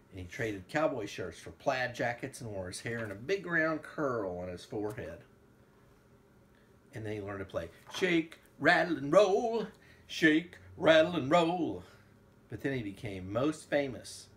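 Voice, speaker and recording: A man's voice: medium pitch, a little hiss or hum. A man, a father, reading a book aloud to a child in a casual, playful way with asides. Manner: playful, breaks into song and asides. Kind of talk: casual read-along of a picture book with sung snippets.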